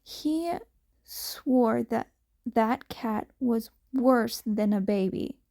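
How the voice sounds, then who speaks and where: Woman, amateur fiction narrator, high voice, extremely slow pace, scripted story reading. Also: creaky, warm tone.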